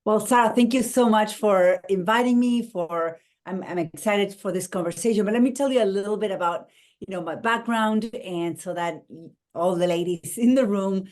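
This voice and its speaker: Argentine-accented woman explaining her work. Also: mid-range voice. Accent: Argentine Spanish. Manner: earnest and explanatory, with restarts. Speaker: guest, marketing strategist. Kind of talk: interview over a call.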